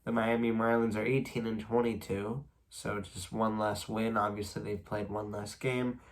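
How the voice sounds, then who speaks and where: A man's voice: lowish voice, moderate pace. A young man with a stuffy, congested nose and a slightly worn voice, casually recapping baseball standings as a video host and rambling a little between stats. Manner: reads stats at a steady clip, rambling asides. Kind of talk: casual solo sports recap.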